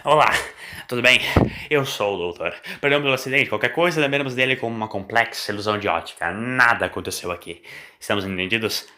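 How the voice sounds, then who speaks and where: A man's voice: lowish voice, quick pace, sharp tone. A man voicing an eccentric, self-assured time-travelling doctor with glib, polite patter in an audio story. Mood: brisk, apologetic.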